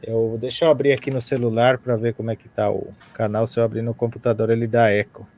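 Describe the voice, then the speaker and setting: Paraguayan guy running a live class on a video call, mid pitch, chatty, lots of fillers. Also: little pitch movement, quick pace, darkish tone. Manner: switches between Spanish and Portuguese, friendly, many fillers. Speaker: Guarani language teacher hosting a live class.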